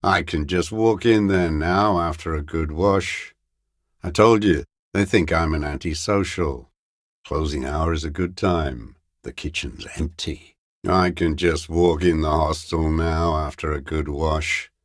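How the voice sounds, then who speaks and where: An actor playing a bitter, paranoid old communist deserter in a video game, with a weary, gravelly voice and a halting, brooding, contemptuous mutter. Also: male voice, extremely low pitch, no echo, studio quality. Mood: brooding, furtive. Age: older. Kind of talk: video game character voice acting.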